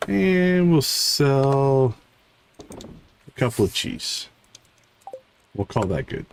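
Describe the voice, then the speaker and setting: A man's voice: mid-range voice, big pitch swings, extremely slow. A man livestreaming a video game, casually thinking aloud as he plays. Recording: clear background noise.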